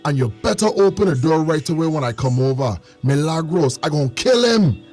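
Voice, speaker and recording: Man playing protective Caribbean father, higher male voice, forceful, demanding, radio drama, soft music behind. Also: lively intonation, brisk. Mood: enraged. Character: angry, vengeful Caribbean father protective of his son.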